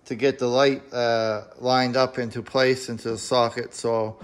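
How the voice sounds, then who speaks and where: A man explaining a do-it-yourself repair in a casual, unscripted, halting and plain-spoken way, recorded in a home garage on a handheld camera. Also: medium pitch, flat delivery. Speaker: amateur DIY car repair video maker.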